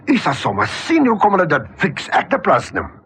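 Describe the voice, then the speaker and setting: A man's voice: high voice, huge pitch swings, fairly quick, fairly bright tone, faint music behind. A man giving a persuasive scripted testimonial as a radio advertisement presenter.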